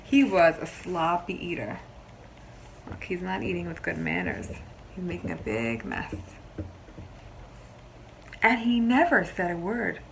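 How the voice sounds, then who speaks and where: A woman teacher reading a picture book aloud to young children, expressively, pausing to explain simply and ask questions. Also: fairly low pitch, background noise. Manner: expressive storybook delivery, explains simply, pauses to question listeners.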